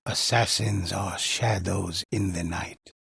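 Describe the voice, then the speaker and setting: A grave, mysterious older storyteller narrates game legends of warriors and spirits. His voice is low and very raspy, and he speaks slowly, weighing every phrase with solemn reverence. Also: steady tone, a bit bright, no echo, heavily acted. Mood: reverent, hushed intensity. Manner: solemn, measured, portentous delivery. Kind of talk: dramatic scripted storytelling narration for a video game.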